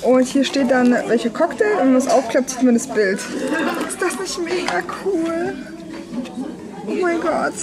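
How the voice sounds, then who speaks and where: A woman's voice: high voice, animated, measured pace, over a noisy crowd. A young woman vlogger talking casually and enthusiastically, often exclaiming, into a handheld camera in a restaurant. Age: young adult. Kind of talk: casual follow-along travel vlog. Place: busy indoor themed restaurant. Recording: loud restaurant ambience, clattering dishes.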